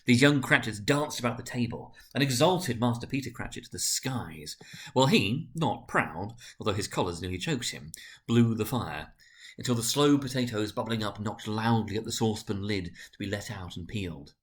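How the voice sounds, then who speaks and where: A man narrating a classic story as a dramatic reading, in an expressive, theatrical manner. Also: lowish voice, normal speed.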